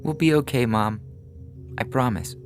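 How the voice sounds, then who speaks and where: Man acting young girl, earnest, pleading, tender, lifted pitch, story reading, music behind. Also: normal speed, close to an everyday voice. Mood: reassuring. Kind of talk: audio short story reading. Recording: music bed under the voice.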